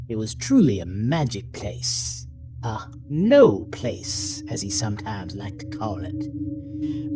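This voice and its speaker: Horror audio story narrator: deliberate, unhurried, widely varied intonation, breathy hushed touches, music underneath. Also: mid-range voice.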